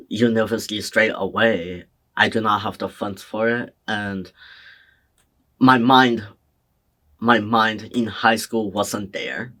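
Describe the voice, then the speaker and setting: A young man from China answering oral history interview questions in a slow, hesitant, soft-spoken way. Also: lowish voice. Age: young adult. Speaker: interviewee, community college student.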